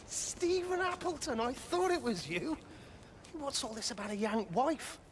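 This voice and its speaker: Nosy village busybody, very animated pitch, slow drawn-out delivery, blunt, gushing, game drama. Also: female voice. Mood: nosy, effusive. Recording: faint ambient noise.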